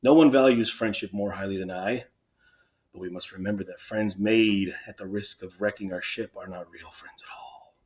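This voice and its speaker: A man's voice: lowish voice, steady tone, normal speed, a little mellow, breathy edge. A man playing a stern, unbending king, declaiming formally and emphatically in a dramatic reading of Greek tragedy. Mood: commanding.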